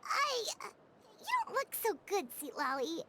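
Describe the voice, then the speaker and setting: A woman's voice: very high-pitched, big pitch swings, normal speed, sharp tone, a little hiss or hum, heavily acted. A woman voicing a small, childlike floating fairy companion in a video game, cutesy, exclamatory and earnest. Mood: concerned.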